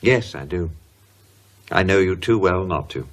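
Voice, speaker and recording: A sensible worried english pal, lowish husky voice, blunt but caring, radio drama. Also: male voice, expressive, a little slow, lo-fi sound, exaggerated voice. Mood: measured, sensible. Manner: blunt, solicitous, educated. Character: concerned, brisk male friend, frank and sensible. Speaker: actor. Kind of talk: British radio horror drama acting.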